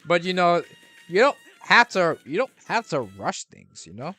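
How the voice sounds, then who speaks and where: A man's voice: sits high, big pitch swings, normal speed, bright, forceful tone, faint music behind. A young man chatting casually while playing a game, rambling with frequent restarts and trailing thoughts. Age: young adult. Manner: rambling, lots of restarts and fillers. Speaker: gaming streamer. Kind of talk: casual gameplay commentary.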